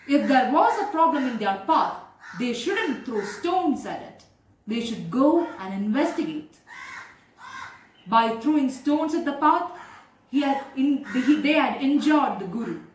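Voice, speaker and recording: A woman's voice: sits high, big pitch swings, measured pace. A young woman with an Indian accent narrates a moral tale, earnestly explaining and addressing her listeners directly. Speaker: storyteller. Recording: echoey room with faint background noise.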